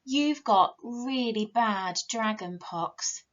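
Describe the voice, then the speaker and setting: A woman's voice: on the high side, lively intonation, slow pace, a bit bright, dull audio. A woman voicing a bossy, haughty little mirror princess in a children's storybook, imperious and dramatic. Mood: bossy warning.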